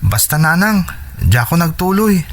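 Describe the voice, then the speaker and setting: A man's voice: fairly high pitch, sing-song, normal speed. A man playing an earnest, determined young son in a radio drama, speaking respectfully with an Ilocano Filipino accent. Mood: earnest, resolute.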